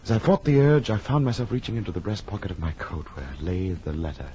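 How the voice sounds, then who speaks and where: Deep-voiced, uneasy gothic gentleman. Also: very animated. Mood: dread, brooding. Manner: deliberate, dramatic, formal. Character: uneasy, well-bred gentleman narrating a gothic horror visit. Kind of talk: 1940s radio drama narration. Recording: muffled, faintly noisy old radio recording.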